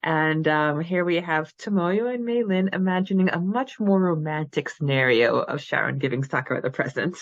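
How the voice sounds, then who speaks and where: Chatty giggly woman podcast host, lowish voice, dry but kinda muffled recording. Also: moderate pace, few pauses, dry recording. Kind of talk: casual chat about a fan fiction.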